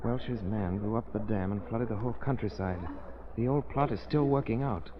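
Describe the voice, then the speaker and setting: An actor playing a composed, observant gentleman sleuth in a 1930s radio drama, speaking in a measured, serious way. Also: fairly low pitch, crowd noise, close to an everyday voice. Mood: grave calm. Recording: muffled, noisy 1930s radio recording.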